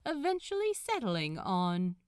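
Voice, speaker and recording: A woman reading scripted fiction aloud as an expressive storyteller. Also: mid-range voice, measured pace, clear, resonant tone, no echo, clean studio sound. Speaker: podfic narrator.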